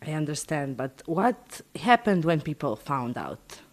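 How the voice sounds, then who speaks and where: Lively-pitched interviewer. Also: a little slow. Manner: reads questions, some fillers. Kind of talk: interview questions.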